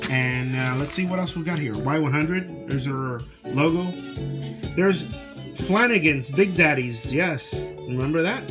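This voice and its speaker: A middle-aged man talks in a casual, informal vlog style, as if showing things to friends and thinking aloud. His voice sits a little higher than most men's. Music plays clearly behind him as he speaks. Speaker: vlog host showing a personal tape collection. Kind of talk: casual chatty show-and-tell demonstration.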